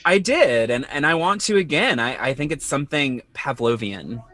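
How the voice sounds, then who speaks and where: Casting: man to play an anxious, guarded male lead, hesitant and natural, clear-edged bright tone, music in the mix. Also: mid-range voice, animated, no echo, lightly acted. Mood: uneasy. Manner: hesitant, halting, self-conscious. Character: anxious, defensive man confiding about his panic. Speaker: podcast host playing himself in audio drama. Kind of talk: naturalistic conversational audio drama acting.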